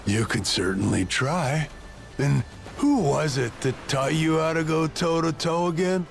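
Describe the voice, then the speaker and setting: An actor playing a bitter, hard-drinking, middle-aged father and former treasure hunter in a video game, gruff and curt with a gravelly voice. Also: on the high side, measured pace, soft background music. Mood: gruff, challenging. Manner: gruff, curt, swearing, dismissive. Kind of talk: dramatic video game voice acting.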